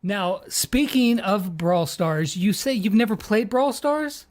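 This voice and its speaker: A woman's voice: medium pitch, lively intonation, slow, a little mellow. Upbeat joking female interviewer. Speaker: interviewer, host. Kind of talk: casual interview chat.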